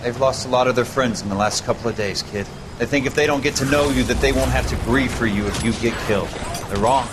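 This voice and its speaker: Man acting a steady sergeant amid battlefield noise. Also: mid-range voice, fast, close to an everyday voice. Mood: steady, reassuring. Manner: plainspoken, steady, fatherly. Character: seasoned, reassuring male Marine sergeant at war. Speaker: Marine sergeant character. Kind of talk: video game cutscene acting.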